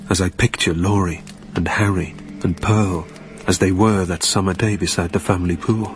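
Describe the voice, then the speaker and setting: A man plays a weary, melancholy dead man in an audio drama. His voice is low and he speaks in measured, lyrical, reflective phrases. Music plays clearly behind him. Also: close to an everyday voice. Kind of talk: literary audio drama narration.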